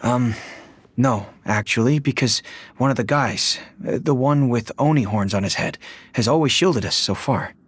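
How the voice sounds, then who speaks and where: A man's voice: mid-range voice, moderate pace, slight background noise, natural voice. An actor playing a weary, cautious village father in a video game, mild and hesitant.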